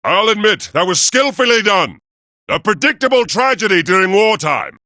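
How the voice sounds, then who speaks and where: A noble robot commander from a video game. The voice sits high and swings widely, with a forceful edge. Every phrase is declaimed formally and with solemn grandeur. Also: male voice, big pitch swings, moderate pace, dry recording, clean studio sound, full character voice. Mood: solemn, regretful. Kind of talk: theatrical video game voice acting.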